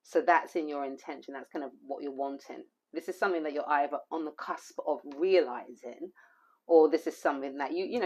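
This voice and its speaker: A hoarse woman giving an intuitive tarot reading, rambling and repeating phrases with plenty of fillers. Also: sits low, a little mellow. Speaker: tarot reader.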